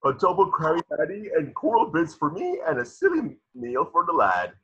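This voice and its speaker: A doddering old cartoon superhero, with a high voice that swoops wildly. He is grandiose and befuddled, husky, and recorded on a slightly dull mic. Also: huge pitch swings, moderate pace, fairly bright tone, exaggerated voice. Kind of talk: cartoon script table reading.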